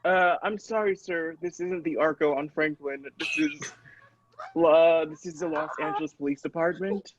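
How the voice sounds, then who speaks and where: A man's voice: a little high, fairly even intonation, normal speed, muffled recording, natural voice. A man playing a polite, flustered policeman in improvised comedy, hesitant, apologetic and dry. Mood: concerned, apologetic. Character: polite, flustered policeman fielding odd requests.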